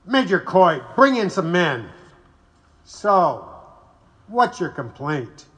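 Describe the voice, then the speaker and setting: A man's voice: sits high, very animated, slow, a bit bright, full character voice. A man playing a bullying, scornful mayor. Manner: blustering, scornful. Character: bullying 1880s male big-city mayor, contemptuous. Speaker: city mayor. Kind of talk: audio drama acting.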